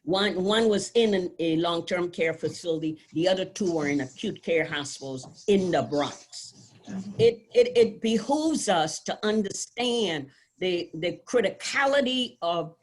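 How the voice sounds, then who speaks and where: Measured, solemn woman professor. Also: fairly low pitch, animated. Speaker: nursing professor and department chair. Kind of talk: formal opening remarks. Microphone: video call.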